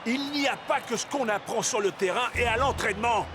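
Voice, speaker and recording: A man's voice: very high voice, a little fast, background noise. A man playing a stern, wise football coach in a cartoon. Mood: urging. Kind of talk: cartoon dialogue. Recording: music behind.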